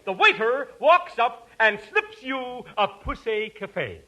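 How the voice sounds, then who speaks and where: A man playing the commanding straight man in a 1940s radio comedy, briskly instructing his partner. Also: very high voice, very animated, background noise.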